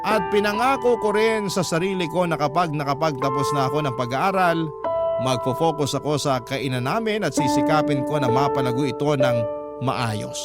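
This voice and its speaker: A man's voice: on the high side, normal speed. A man reading a first-person letter as a scripted radio story narration, with music behind him. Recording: prominent music bed under the voice. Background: loud background music.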